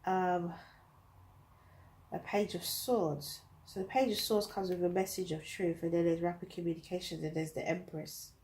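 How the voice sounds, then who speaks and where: A woman's voice: lowish voice, fairly even intonation, moderate pace, darkish tone, poor audio. A woman with a British accent, reading tarot cards to camera in a chatty, thinking-aloud way, her nose blocked up from a cold. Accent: British English. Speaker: tarot reader.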